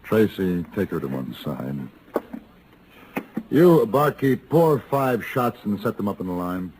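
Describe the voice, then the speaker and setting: An actor playing a cool, cultured gunfighter who speaks formally and precisely in an old-time radio Western. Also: male voice, on the low side, very animated, measured pace. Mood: coolly commanding. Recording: noisy vintage radio recording.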